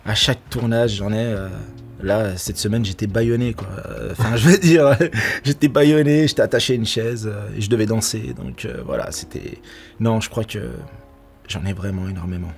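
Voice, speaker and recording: Middle-aged man, low voice, chatty interviewee who laughs a lot, faint music underneath. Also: moderate pace, creaky. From Portugal. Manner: laughs often, many restarts and fillers. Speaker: actor and nightclub security manager. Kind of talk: casual interview answers.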